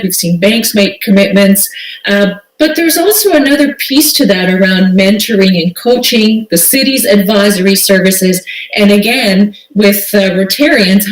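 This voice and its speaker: A woman's voice: medium pitch, distant mic. A middle-aged woman from Tanzania speaking on a video-call panel, earnest and searching, with many fillers and restarts. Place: home room with bookshelves. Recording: roomy video call audio, set back from mic.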